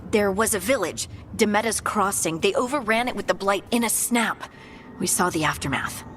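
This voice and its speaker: A woman's voice: mid-range voice, moderate pace, a bit bright, slight background noise, close to an everyday voice. An actress playing a grave, concerned female companion in a fantasy video game, speaking in short, serious phrases.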